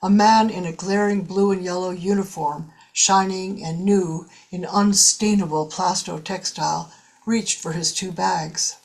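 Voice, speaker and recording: Woman, story narrator, scripted audiobook delivery, fairly low pitch, science fiction drama. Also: steady tone, a little slow, gravelly, poor audio.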